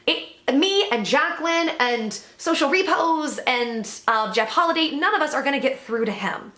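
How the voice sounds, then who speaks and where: Woman vlogger, loud and blunt, swears freely, voice a bit worn; tense, higher-pitched delivery. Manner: blunt and profane, emphatic. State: voice worn from overuse. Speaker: commentary channel host. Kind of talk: vlog commentary update. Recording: echoey room.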